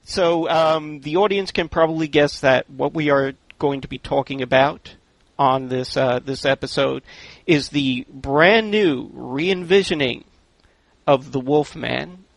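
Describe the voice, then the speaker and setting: A man hosting a casual film roundtable, speaking haltingly with wry, drawn-out emphasis. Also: on the high side, vocal fry, no room sound, low-quality recording. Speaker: podcast host.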